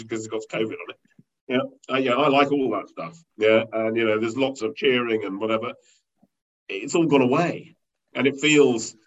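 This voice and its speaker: A man leading a company, talking through an interview in a rambling way with many fillers and restarts, with a loud voice. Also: medium pitch. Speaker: company leader interviewed as guest. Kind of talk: conversational business interview. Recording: dull lo-fi sound.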